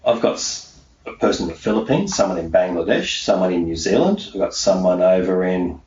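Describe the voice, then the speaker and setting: Deep-voiced man in an echoey room. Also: animated, a little fast, a little mellow. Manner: emphatic, repetitive, punctuates points with "okay". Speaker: interviewee, self-employed mindset coach. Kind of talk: conversational interview answers. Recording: roomy, distant, muffled, faint background noise.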